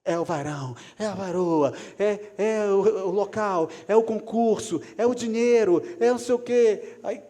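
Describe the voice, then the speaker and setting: A man preaches in a church hall, his voice sitting a little high but sounding mellow and soft-edged. He swings from loud calls to hushed asides. A long hall reverb trails after every phrase. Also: unhurried, hardly any pauses. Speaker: pastor. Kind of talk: sermon.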